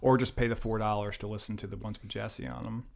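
Teasing deadpan podcast host man. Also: lowish voice, quick pace, gravelly. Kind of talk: casual chat.

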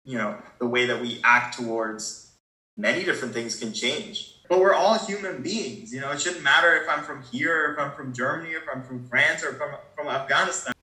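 Teen boy, discussion panelist, bright, earnest, stumbles and restarts, echoey room. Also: medium pitch, a little fast. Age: teens. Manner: earnest, repeats words while thinking aloud. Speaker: discussion participant. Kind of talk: casual group discussion.

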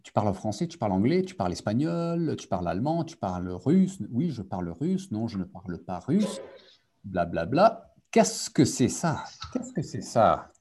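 A man's voice: on the low side, big pitch swings, normal speed, mellow. A man teaching French in a live online group lesson, repeating key phrases and switching between French and English. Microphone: video call.

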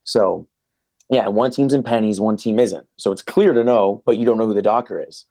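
A young man in casual podcast chat, laid-back and slangy, his voice worn and going hoarse. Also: fairly low pitch, fairly even intonation, normal speed, mellow, no room sound. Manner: laid-back, says man and dude a lot. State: losing his voice. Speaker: podcast co-host, PE teacher.

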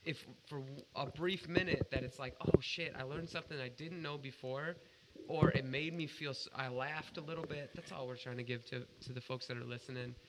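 A man's voice: mid-range voice, steady tone, fairly quick, very noisy. A man co-hosting a casual podcast, talking earnestly and emphatically with plenty of swearing. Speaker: podcast co-host.

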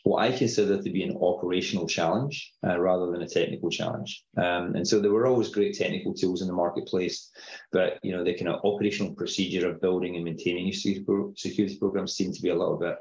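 A middle-aged Scottish man with a low voice talks through ideas in a measured, explanatory way. His audio sounds roomy and a bit dull, like a remote call. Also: fairly even intonation, a little mellow. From Scotland. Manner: measured, explanatory, uses filler phrases. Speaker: company founder guest. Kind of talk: podcast interview.

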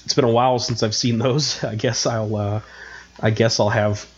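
A man's voice: medium pitch, fairly even intonation, normal speed, breathy edge. Male co-host, congested and nasal, loose self-mocking chatter, muffled mic. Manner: rambling, self-mocking, many fillers. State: stuffed up with a cold. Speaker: podcast co-host. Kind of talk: casual chat.

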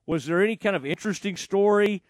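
A man hosts a radio show in a chatty way, asking loose, rambling questions. His voice is high for a man, and it sounds dry and close with no room around it. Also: fast, no room sound. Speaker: radio host. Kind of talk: casual radio chat.

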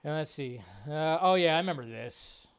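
A man's voice: fairly high pitch, lively intonation, moderate pace, clean tone. A man hosting a live movie fan stream, chatting informally and ramblingly as he reads and answers viewer comments.

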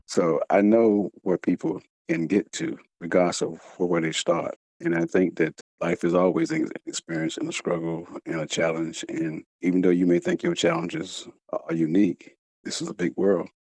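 A man speaking as a guest author in a reflective interview, earnest and halting, with a slight speech impediment that makes him repeat words. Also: sits low, lively intonation, creaky, darkish tone, no echo, rough recording. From United States.

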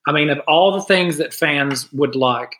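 A middle-aged man co-hosting a podcast over a video call, talking earnestly in casual chat with a hesitant, halting delivery. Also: a little high, a bit bright. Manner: earnest, hesitant with many uh fillers and restarts. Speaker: podcast co-host.

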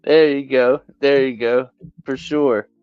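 A man's voice: mid-range voice, fairly even intonation, takes it slowly, clear, resonant tone, dry recording. A man co-hosting a live stream, chatting casually in a jokey way and laughing often. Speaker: co-host. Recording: dry, dull lo-fi sound.

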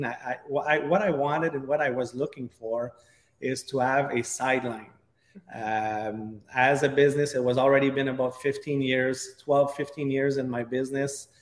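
Middle-aged Quebec French man, echoey room. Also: medium pitch. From Quebec, Canada. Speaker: podcast guest, real estate agent. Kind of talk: conversational interview storytelling.

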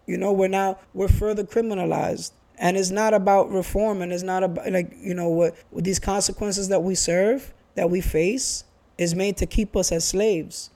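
Earnest New man, bright pitch. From Bronx, New York. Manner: earnest, restarts and repeats phrases. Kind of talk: casual chat.

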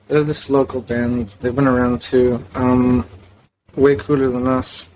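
A man's voice: mid-range voice, level tone, measured pace, darkish tone, soft background music, poor audio. A young man from South Florida, a guitarist and singer, talking in a laid-back, hesitant way as a podcast guest. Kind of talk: casual interview chat.

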